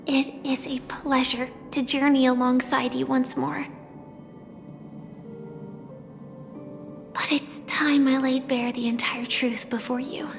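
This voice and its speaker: Gentle high-voiced young woman, slow and echoing. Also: level tone, creaky. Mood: solemn, tender. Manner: gentle, measured, earnest, with hesitant pauses. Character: soft-spoken young female hunter confessing her secret identity, earnest, wistful. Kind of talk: scripted video game story dialogue. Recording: long reverb, music behind.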